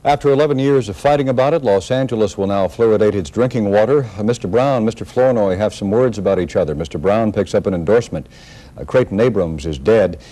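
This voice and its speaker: A male news anchor reads in a studio on a seventies TV tape. His voice is somewhat low, with a soft, dark tone. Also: quick pace, a little hiss or hum, poor audio. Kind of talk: TV news read. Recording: 1970s off-air TV tape.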